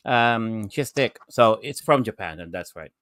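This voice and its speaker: A man's voice: fairly low pitch, expressive, unhurried, fairly bright tone, no echo. A man co-hosting an online show with casual, jokey banter, often repeating his phrases. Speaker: podcast co-host. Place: online live stream call.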